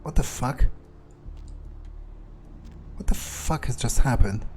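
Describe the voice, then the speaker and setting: A man with a slightly husky voice, narrating a game playthrough in character as a wary vampire, muttering his thoughts hesitantly. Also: medium pitch, slow pace, darkish tone, faint music behind. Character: vampire agent, profane and wary, thinking aloud. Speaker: let's player speaking in character.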